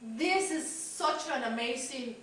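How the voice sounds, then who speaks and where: A woman's voice: high voice, animated, slow pace, fairly bright tone, slight background noise. A woman chatting in an upbeat way to camera as a cooking show host. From Mexico. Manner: upbeat, chatty, gesturing as she talks. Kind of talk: casual chatty presenting to camera. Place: home kitchen, indoors. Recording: very echoey room, distant camera mic.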